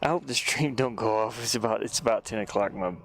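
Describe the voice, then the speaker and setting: A man chatting casually on a livestream while he works, in a halting, rambling, easygoing manner. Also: lowish voice. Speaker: livestreamer, hobby game artist. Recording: clear background noise, live stream.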